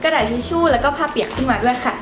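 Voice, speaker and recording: A woman's voice: sits high, rapid-fire, background noise. A young teenage schoolgirl co-hosting a student vlog, explaining things politely to viewers. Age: young teenager, secondary school student. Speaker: student co-host of a school channel. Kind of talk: scripted vlog presenting to camera. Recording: roomy echo, music behind. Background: background music.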